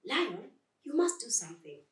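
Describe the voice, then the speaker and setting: A woman's voice: a little high, sing-song, moderate pace, roomy sound, recorded from a distance, voice pushed up. A woman voicing a tiny, loyal flea who pleads and encourages in a storybook tale. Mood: pleading. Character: tiny, earnest flea, loyal urging friend.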